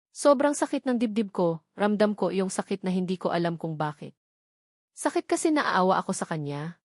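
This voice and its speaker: A woman's voice: mid-range voice, darkish tone, no room sound, clean studio sound. A Filipino woman reading a first-person romance novel aloud as a dramatic, sorrowful narrator.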